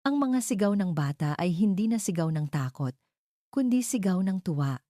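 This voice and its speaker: Low, mellow woman storyteller. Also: very animated, moderate pace, dry recording, studio quality. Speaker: story narrator. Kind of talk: dramatic scripted storytelling narration.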